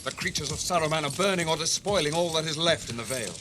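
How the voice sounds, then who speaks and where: An actor in a radio drama playing a grave, decisive warrior captain with a measured, commanding manner. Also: male voice, a little high, noisy background, natural voice. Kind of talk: 1980s radio drama acting.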